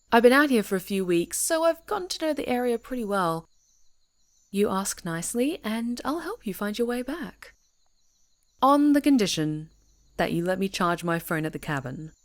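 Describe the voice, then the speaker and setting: A woman playing a chatty, easygoing camper in an ASMR roleplay, talking conversationally and teasingly to an unheard listener. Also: on the low side. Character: friendly, chatty woman camper on a woodland retreat.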